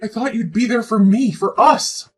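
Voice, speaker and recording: A woman playing a husky pregnant young woman. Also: on the high side, moderate pace, darkish tone, faint music behind. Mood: hurt. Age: 20s. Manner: emotional, pleading, reproachful. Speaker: pregnant girlfriend. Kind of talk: scripted relationship drama.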